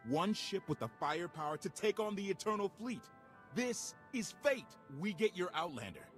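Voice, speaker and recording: An actor playing an excitable, wisecracking young adventurer in a video game cutscene, eager and emphatic. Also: male voice, high voice, normal speed, faint music behind. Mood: awed, excited.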